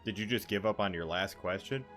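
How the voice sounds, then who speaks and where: A man's voice: low-pitched, fairly quick, fairly bright tone, soft background music. A man casually commenting on a game as he plays, with a joking, offhand manner. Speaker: gaming streamer.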